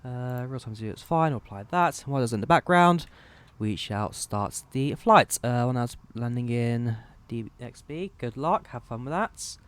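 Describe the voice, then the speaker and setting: A man's voice: medium pitch, expressive, moderate pace, a bit bright, smooth. Young man talking through a flight sim. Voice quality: slightly worn voice. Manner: talks through cockpit steps, reading out codes and numbers. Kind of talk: casual live commentary while setting up a flight.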